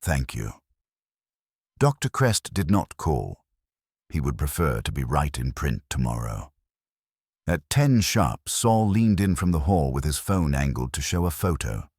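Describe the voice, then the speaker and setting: A man narrating a scripted first-person detective story in a dry, wry, measured way. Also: a bass voice, big pitch swings, a little mellow, husky, no room sound. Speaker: audiobook narrator.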